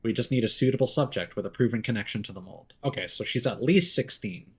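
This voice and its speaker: A man's voice: mid-range voice, fast, breathy edge. A man giving casual live gameplay commentary, musing aloud and reading in-game notes out as he goes. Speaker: video game streamer.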